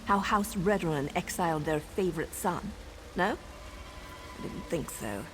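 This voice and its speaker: A woman's voice: lowish voice, unhurried, natural voice. Woman acting as cocky female assassin, flippant, teasing, wry, video game character, faint noise behind. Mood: firm, serious. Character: sardonic, self-assured female assassin on a contract.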